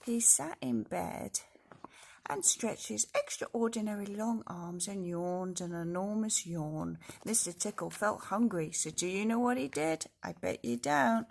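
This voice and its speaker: A woman reading a children's storybook aloud in an expressive way, speaking directly to young listeners. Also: medium pitch, unhurried, vocal fry, a little hiss or hum. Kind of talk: storybook read-aloud with casual asides.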